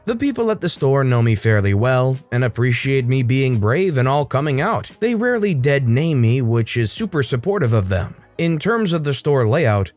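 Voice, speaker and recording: A man reading internet stories aloud, with lively delivery and wry asides. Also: medium pitch. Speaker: story narrator.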